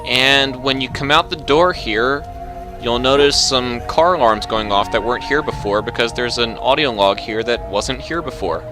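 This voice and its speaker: A man narrating a video game walkthrough in a relaxed, instructive, matter-of-fact way. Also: medium pitch, moderate pace, over music. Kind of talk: casual gameplay commentary.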